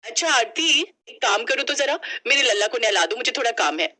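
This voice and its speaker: A bossy elder sister-in-law performed by a woman narrator, brisk and cheerful. The voice is high, forceful and animated, made for a storybook family drama. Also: a little hiss or hum.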